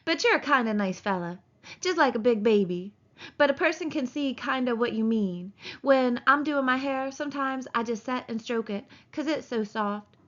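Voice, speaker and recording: A woman voicing a vain, chatty young ranch wife in an audiobook, folksy and quick to take offence. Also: high-pitched, fairly bright tone, dull audio, voice pushed up. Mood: complacent. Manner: folksy, complacent drawn-out speech. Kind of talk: audiobook character voice.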